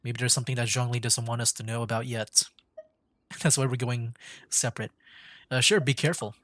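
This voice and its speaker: A man's voice: medium pitch, fairly even intonation, fairly quick, a bit bright, no room sound. A man streaming a video game with casual, tentative reactive commentary, thinking aloud. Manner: musing, tentative.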